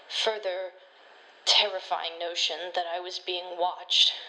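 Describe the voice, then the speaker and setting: A woman performing a horror story as an uneasy forensic pathologist, speaking in a measured, deliberate way with dramatic pauses. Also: sharp tone. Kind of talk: scripted first-person horror narration. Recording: noisy low-quality home microphone recording.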